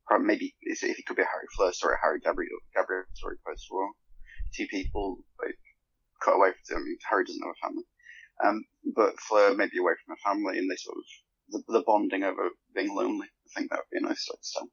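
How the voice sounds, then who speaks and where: A man's voice: low voice, fairly even intonation, quick pace, creaky, a bit bright, slightly rough. A man chatting casually as a podcast guest, hesitant and rephrasing as he goes. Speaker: podcast guest, fan fiction author. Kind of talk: casual chat. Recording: dry, slightly muffled voice-call audio.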